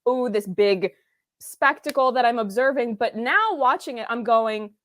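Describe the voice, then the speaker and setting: A woman's voice: a little high, lively intonation, measured pace. A woman hosting a casual, analytical review discussion, speaking in earnest academic terms and acting out thoughts as she goes. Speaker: host of a series review discussion.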